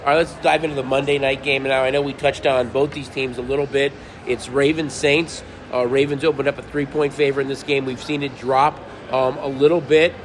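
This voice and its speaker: A man's voice: medium pitch, flat delivery, brisk, few pauses, a little hiss or hum. A man co-hosting a sports betting podcast who is losing his voice, leading segments and reading out betting numbers. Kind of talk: casual sports betting talk.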